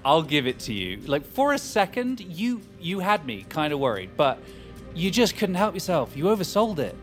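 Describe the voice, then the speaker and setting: A brash, cocky man in a sci-fi audio drama. His voice sits on the high side and swings widely, informal and sceptical, over faint music. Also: big pitch swings, normal speed, a bit bright. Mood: mocking disbelief. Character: brash, sceptical, foul-mouthed man held captive.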